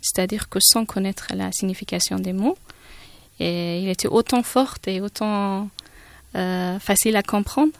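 A woman with an Afghan accent, her first language Persian, speaks as an interview guest in a measured way, often pausing for the right word. Her voice sits at a middle pitch, and the studio recording is dry with no room sound. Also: fairly even intonation, vocal fry, dry recording. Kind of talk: radio interview.

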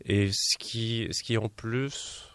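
A Moroccan-born man whose first language is Arabic, an opera baritone and director, answering radio interview questions with many hesitations and restarts. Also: fairly even intonation, takes it slowly, fairly bright tone, smooth, no room sound. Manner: baritone singer who places his voice.

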